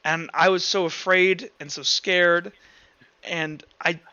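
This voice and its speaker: Haunted young woman, acted by a male game master, tabletop RPG, self-justifying and halting, bright but slightly muffled recording. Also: high-pitched, steady tone, clear, resonant tone, heavily acted. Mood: pained, fearful. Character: haunted, defensive young woman who enthralled her best friend.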